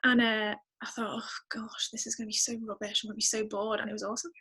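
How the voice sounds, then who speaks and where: A woman's voice: mid-range voice, flat delivery, fast, sharp tone, slightly rough. A woman chatting casually as a guest, emphatic and thinking aloud as she goes. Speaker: podcast guest, singer-songwriter. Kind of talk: casual chat.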